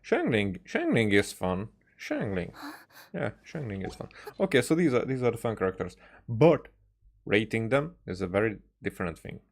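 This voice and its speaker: A man with a fairly low voice streams a game. He comments casually and haltingly, doubling back on his words as he thinks out loud. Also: very animated. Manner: halting, repeats and restarts phrases. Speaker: livestreamer playing a game.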